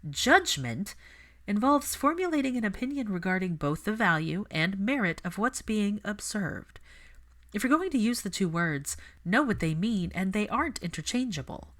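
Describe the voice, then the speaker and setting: A woman's voice: medium pitch, sing-song, normal speed, fairly bright tone, pitched above the performer's own voice. A woman voicing a principled, stubborn young female healer who speaks earnestly and precisely in an audiobook. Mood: insistent, indignant. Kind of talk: audiobook character dialogue.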